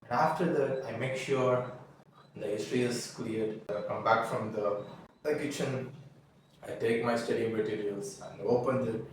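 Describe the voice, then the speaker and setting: A young man whose first language is Tamil, telling a halting, earnest confessional story and often restarting his words. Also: medium pitch, fairly even intonation, a little hiss or hum. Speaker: vlogger. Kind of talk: confessional monologue. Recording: echoey room, mic at a distance.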